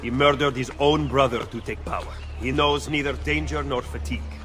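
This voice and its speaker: A man's voice: medium pitch, fairly even intonation, natural voice. Solemn Italian conspirator played by a man, music behind. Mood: ominous. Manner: measured, ominous, dramatic. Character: shrewd, solemn Italian conspirator advising an assassin. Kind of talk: video game voice acting.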